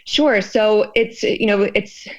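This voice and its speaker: A woman explaining her research in an interview, in a thoughtful, halting, conversational way. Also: mid-range voice, steady tone, dull audio. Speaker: guest, sociologist and fear researcher.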